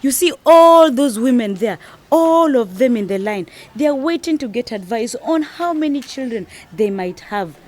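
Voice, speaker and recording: A woman's voice: on the high side, animated, normal speed, a little mellow. A woman playing a determined, persuasive young wife in an educational radio drama, advising her friend with assured emphasis. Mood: resolute.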